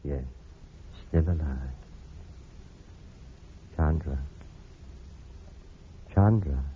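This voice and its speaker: Grave doctor, deep voice, measured, considerate, old radio drama, muffled hissy recording. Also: male voice, heavily acted. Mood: calm, coaxing.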